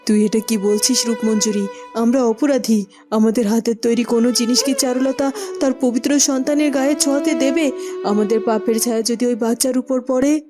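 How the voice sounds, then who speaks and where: A woman's voice: a little high, steady tone, normal speed, lightly acted. A woman narrating and acting in a dramatic cartoon fairytale style. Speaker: narrator and character voice. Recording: music under the voice.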